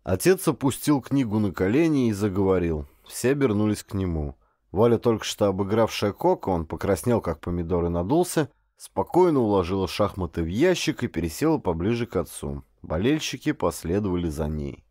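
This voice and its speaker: A man narrating a first-person literary story as an audiobook, with expressive, acted storytelling. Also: low-pitched, fairly quick, no room sound, studio quality.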